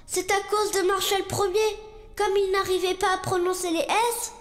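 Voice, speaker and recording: A woman voicing an excited, chatty small boy in a space cartoon. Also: extremely high pitch, normal speed, echoey room. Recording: cartoon dialogue with music behind.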